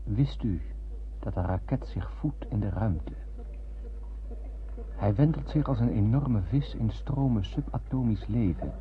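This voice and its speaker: A spaceman narrating a radio drama with poetic wonder. His voice is on the low side, in a dull recording with clear noise behind it. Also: lively intonation, a little slow, pauses often. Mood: wondering narration. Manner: poetic, storytelling.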